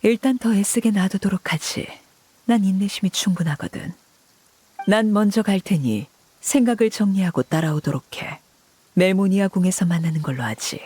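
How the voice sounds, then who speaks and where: A woman voicing a cool, shrewd, commanding woman with quiet menace in a video game, husky, unhurried and imperious. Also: mid-range voice, animated. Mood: knowing, commanding.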